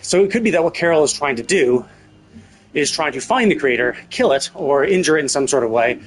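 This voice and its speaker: A man's voice: fairly high pitch, animated, fairly quick. A man streaming casual game commentary, thinking aloud and rephrasing himself as he speculates about a story. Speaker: live streamer. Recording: music playing behind the voice. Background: game music.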